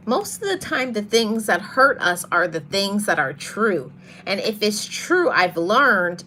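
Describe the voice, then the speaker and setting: A woman hosting a motivational podcast, talking to her audience in a soft voice and repeating her key phrases for emphasis. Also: medium pitch, a little hiss or hum. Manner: greets live viewers mid-talk. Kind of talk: live-streamed motivational talk.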